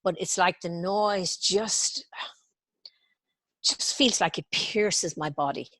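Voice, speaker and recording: A woman's voice: lowish voice, takes it slowly, no echo. A woman from Ireland, talking casually and hesitantly as a guest practitioner. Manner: hesitant, searching for words, animated when describing overwhelm. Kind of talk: casual conversation in a tapping session.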